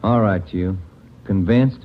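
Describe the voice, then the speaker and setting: Lowish, no-nonsense police detective. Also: male voice, slow. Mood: firm, pressing. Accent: American. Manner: plain, direct, firm. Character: no-nonsense male police detective sidekick, gruffly polite. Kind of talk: 1940s radio police drama acting. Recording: dull old 1940s radio recording.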